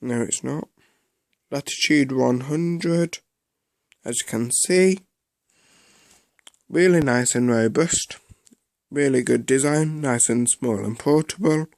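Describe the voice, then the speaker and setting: Slow-talking man, halting delivery. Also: medium pitch, gravelly, darkish tone, no echo, clean studio sound. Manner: halting, repeats himself. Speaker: amateur product reviewer. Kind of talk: casual unscripted gadget review.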